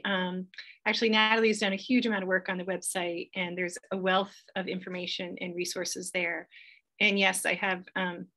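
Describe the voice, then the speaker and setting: A middle-aged American woman with a slightly gravelly voice, a professor giving an informal welcome presentation to an online audience. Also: mid-range voice, bright, forceful tone. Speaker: professor hosting a welcome webinar. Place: online webinar.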